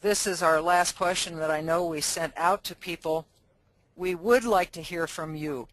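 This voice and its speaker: A man's voice: on the high side, fairly even intonation, normal speed, fairly bright tone, soft background music, poor audio. A man, a fire chief, presenting a webinar in a friendly, informal way with many fillers.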